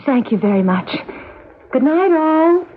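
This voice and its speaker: A woman's voice: sits high, sing-song, a little slow, slight background noise. A woman playing a timid, melancholy, dutiful figure in a radio drama, hesitant and polite. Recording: tinny vintage radio broadcast.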